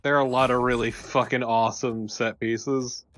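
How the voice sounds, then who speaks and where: A man's voice: mid-range voice, flat delivery, moderate pace, fairly bright tone. A man co-hosting a let's play with casual, sweary banter about the game. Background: game music.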